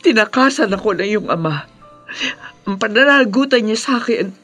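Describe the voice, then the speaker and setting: A woman's voice: medium pitch, lively intonation, normal speed, a bit bright, soft background music. A woman acting a remorseful middle-aged mother, pleading tearfully in a melodramatic radio drama.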